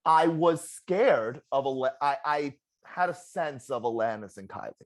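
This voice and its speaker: A former game contestant in a casual exit interview, telling stories in an animated, self-deprecating way with a loud voice. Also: mid-range voice, a little slow. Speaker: eliminated contestant, juror.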